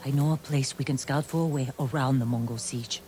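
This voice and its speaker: A woman's voice: very deep voice, fairly even intonation, a little fast, very noisy. Confident, tough woman scout. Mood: confident, urgent. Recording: loud cinematic music behind.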